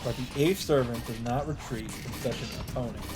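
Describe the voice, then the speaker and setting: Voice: mid-range voice, moderate pace, loud music behind the voice. A let's player reading a visual novel aloud in dramatic narration, breaking off for casual asides and exclamations.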